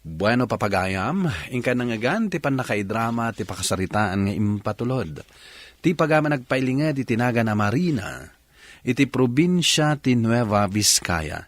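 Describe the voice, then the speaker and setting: Male radio host: Ilocano accent, scripted narration, lively intonation, dry close sound. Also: medium pitch, moderate pace, dry recording. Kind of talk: scripted advice and drama narration.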